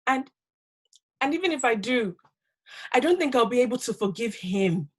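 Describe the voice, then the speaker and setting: A woman performing a dramatic stage monologue as a wounded, bitter wife, with deliberate, halting, impassioned delivery. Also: a little high, expressive, slightly rough. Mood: anguished, doubtful. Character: wounded, bitter wife who survived violence and rejection.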